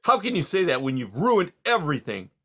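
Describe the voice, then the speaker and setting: A man's voice: fairly high pitch, big pitch swings, fairly quick, sharp tone, pitched above the performer's own voice, heavily acted. A man reading aloud, voicing an angry, miserable young male mouse in short, sullen replies.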